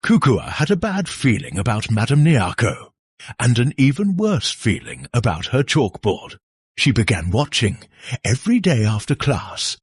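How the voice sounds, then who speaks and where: A man tells a dark folktale with theatrical suspense. His voice is very husky and rough, his pitch moves with great animation, and he takes his time, leaving dramatic pauses between phrases. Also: dry recording, studio quality. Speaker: storyteller. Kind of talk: dramatic scripted horror folktale narration.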